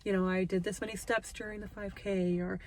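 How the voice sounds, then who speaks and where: A young woman with a nasal, stuffed-up voice chats casually into a handheld phone, drifting from her car to a walking trail outdoors. Her pitch sits in a middle range, and she slips into a sweeter, higher tone whenever she speaks to her dog. A faint hiss runs under the recording. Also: little pitch movement, fairly quick. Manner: chatty, self-deprecating, talks in a high sweet voice to her dog. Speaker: vlogger. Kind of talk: casual vlog chat.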